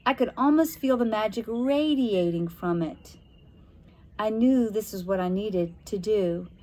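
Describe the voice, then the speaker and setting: A woman reading a spooky children's novel aloud, narrating dramatically with expressive, suspenseful, deliberate phrasing. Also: mid-range voice. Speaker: storybook reader.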